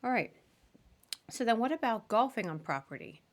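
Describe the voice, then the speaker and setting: A woman's voice: fairly high pitch, animated, normal speed. A woman hosting a podcast, chatting casually as she interviews her guest. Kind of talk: casual interview on a live video show.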